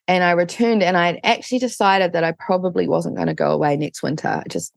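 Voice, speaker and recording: Female interview guest, New Zealand accent. Deep-set voice with vocal fry, informal and chatty. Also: fairly even intonation, quick pace, no room sound, studio quality. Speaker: interview guest, online business coach. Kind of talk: casual interview chat.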